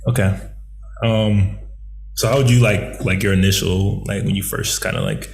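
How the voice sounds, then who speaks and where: Male interviewer, lowish gravelly voice, loose rambling questions. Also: fairly even intonation, normal speed, poor audio. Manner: rambling questions with many fillers.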